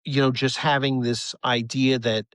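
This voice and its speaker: A man's voice: medium pitch, level tone, normal speed, a bit bright, clean tone, dry recording. Earnest, rambling American man telling stories. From United States. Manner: earnest, rambling, repeats phrases. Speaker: rock musician, interview guest. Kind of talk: casual storytelling interview.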